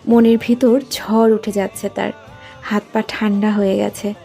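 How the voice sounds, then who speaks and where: A woman's voice: medium pitch, flat delivery, unhurried, vocal fry, warm tone. A woman narrating a scripted romantic story as a storyteller, with music behind. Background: background music.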